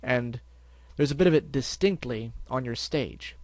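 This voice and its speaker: A level-headed storybook unicorn stallion, voiced by a man who speaks in a measured, firm tone of calm exasperation. His voice sits at an ordinary middle pitch. The sound is dry and close. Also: no room sound, lightly acted. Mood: serious. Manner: measured, firm, matter-of-fact. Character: calm, serious lavender unicorn stallion, investigator.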